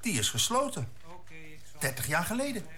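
Animated air traffic control chief. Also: male voice, sits high, normal speed, heavily acted. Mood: puzzled. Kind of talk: radio play acting.

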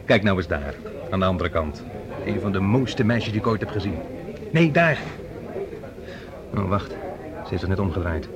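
A man's voice: deep voice, animated. An actor playing a breezy, chatty male colleague in radio play dialogue. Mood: teasing, eager. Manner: chatty, teasing, brisk. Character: breezy, teasing male colleague with an eye for women. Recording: crowd murmur behind the voice.

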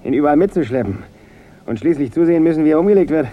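An actor playing a bitter, menacing gangster out for revenge in a German radio drama. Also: male voice, fairly high pitch, lively intonation, racing, close to an everyday voice. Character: bitter vengeful male gangster holding a gun. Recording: dull radio recording, noisy backdrop.

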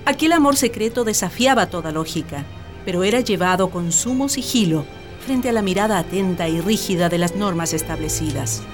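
A woman's voice: lowish voice, a little fast, over music. A woman reading scripted narration for a radio drama as its storyteller.

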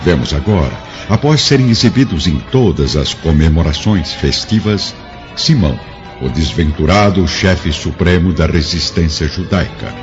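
A man with a Brazilian accent narrating a historical radio serial in a solemn, theatrical way. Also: low voice. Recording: dull lo-fi recording with music underneath.

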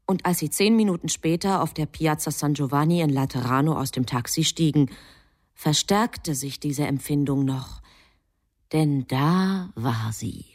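A woman acting as the composed storyteller of a radio play, measured and deliberate in her narration. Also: sits low, a little mellow. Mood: measured, suspenseful. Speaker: narrator, also playing an angel.

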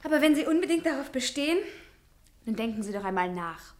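An actress playing a clever young female student who speaks briskly and confidently, in a radio play. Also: high-pitched, lively intonation. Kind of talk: 1950s radio play acting.

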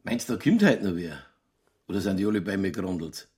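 An actor playing a stubborn, provocative Bavarian police detective in a German radio crime drama, holding forth in colloquial dialect with a husky, gravelly voice. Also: male voice, low voice, expressive, quick pace, background noise. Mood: pushy, colloquial.

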